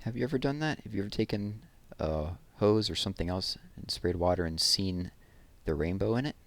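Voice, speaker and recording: Low-voiced man reading to children. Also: vocal fry, slight background noise. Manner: measured, expressive verse reading, explains things simply to young listeners. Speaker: read-aloud host. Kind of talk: reading children's poems aloud with casual asides.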